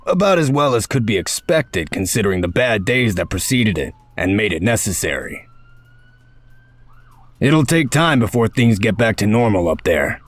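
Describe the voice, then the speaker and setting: Man acting a low-voiced, blunt, gruff protector. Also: very animated, moderate pace, dry recording. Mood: wry, steady. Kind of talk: comic dub voice acting.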